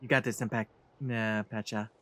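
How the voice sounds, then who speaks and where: A woman's voice: very deep voice, steady tone, moderate pace, a little hiss or hum. A woman giving casual, reactive commentary as the host of a video game playthrough. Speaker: let's play host. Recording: game music behind the voice.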